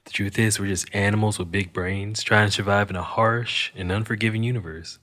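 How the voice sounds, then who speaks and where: An actor playing a cynical, wisecracking Martian criminal fixer in an audio drama monologue, with wry, world-weary delivery and deliberate pauses. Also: male voice, fairly low pitch, fairly even intonation, a bit bright, natural voice. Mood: wry, philosophical.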